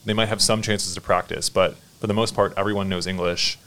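A man hosting a podcast with a very low voice. He speaks in a measured, thoughtful way and asks his guests reflective questions. He also introduces the segments of the show. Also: steady tone, a bit bright. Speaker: podcast host.

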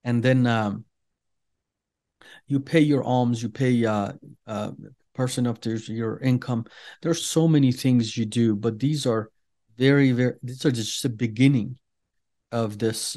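An earnest middle-aged man from Iran, a native Farsi speaker, telling his own story as an interview guest. His voice is mellow and warm, set at an ordinary pitch for a man. He speaks deliberately, with frequent fillers and restarts. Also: fairly even intonation. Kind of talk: personal testimony in an interview.

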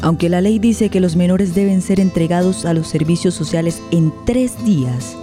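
A woman's voice: lowish voice, moderate pace. A woman narrating a scripted radio play in a dramatic style. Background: faint guitar music.

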